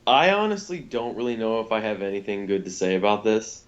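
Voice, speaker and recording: A man co-hosting a podcast, giving blunt, halting opinions in a casual critical chat and swearing freely. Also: fairly low pitch, dull audio. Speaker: podcast co-host.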